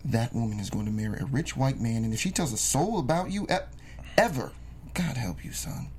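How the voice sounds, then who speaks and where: Guy acting as strict older dad in a period drama, pitch jumps around, some room noise. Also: animated, brisk, lightly acted. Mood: angry, incredulous. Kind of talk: table read acting.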